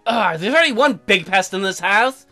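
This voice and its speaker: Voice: medium pitch, lively intonation, a little fast, pushed and bright, soft background music. A performer voicing an annoyed, bossy young wife in a visual novel, with snappish, sarcastic scolding. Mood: bossy, irritated.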